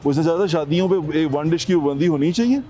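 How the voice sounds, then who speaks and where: High-pitched Pakistani Punjabi man interviewing, music behind. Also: fast talker, warm tone. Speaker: street interviewer for a TV news show. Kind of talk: vox pop interviewing, casual questions. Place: outdoors on a city street. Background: prominent music over outdoor urban sound.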